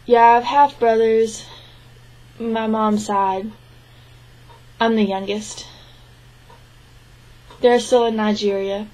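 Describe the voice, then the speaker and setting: Woman interpreting in a flat, higher voice. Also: low-quality recording. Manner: even, measured delivery with frequent restarts. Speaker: sign language interpreter voicing a deaf man's answers. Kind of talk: spoken interpretation of a signed interview.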